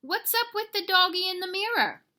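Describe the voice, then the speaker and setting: An excitable little kitten boy from a storybook, playing space hero as acted out by a woman reader. The voice is high, bright and swooping, with a theatrical Mexican Spanish accent and a singsong, exclaiming delivery. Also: slow pace, full character voice. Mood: excited. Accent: put-on Mexican Spanish.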